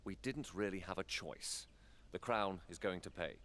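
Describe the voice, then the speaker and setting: A stately council lord from a fantasy game. His voice is deep, and he speaks formally and guardedly, like a statesman carrying heavy worries. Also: normal speed, a bit bright. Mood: guarded, weary. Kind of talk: fantasy video game cutscene acting.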